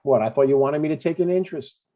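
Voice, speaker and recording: A man's voice: fairly high pitch, quick pace, muffled recording, close to an everyday voice. A man playing a chatty, stubborn older father in a comedy table read, in a conversational, mildly needling way. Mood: breezy, coaxing.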